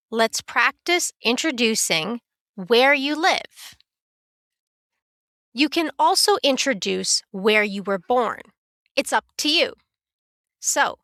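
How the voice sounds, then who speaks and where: A woman teaching a beginner English lesson in a careful, clear, scripted way. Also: on the high side, sharp tone, dry recording, studio quality. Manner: clear, careful, instructive delivery.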